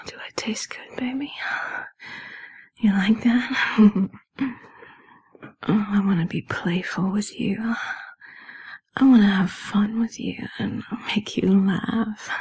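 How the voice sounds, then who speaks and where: A woman whispering in a breathy, airy voice, in a sultry, intimate adult audio roleplay style with lingering, sighing delivery. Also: medium pitch.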